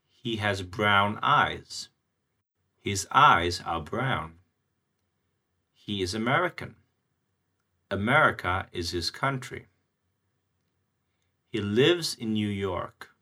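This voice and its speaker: A man with a lowish but bright-toned voice teaches a language lesson. He speaks very slowly and deliberately, spacing out each word and example sentence. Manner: very slow, deliberate, clearly enunciated teaching delivery. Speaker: English teacher.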